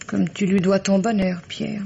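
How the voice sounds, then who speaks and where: An actress playing a weary, bitter, jealous middle-aged French wife, plaintive and reproachful, in a 1950s-style radio drama. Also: female voice, medium pitch, flat delivery, unhurried, creaky, dark tone. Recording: dull, noisy old radio recording.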